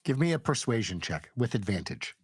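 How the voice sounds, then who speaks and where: Male dungeon master, medium pitch, dry recording, narrates a tabletop game. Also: sing-song, fairly quick, slightly rough, no room sound.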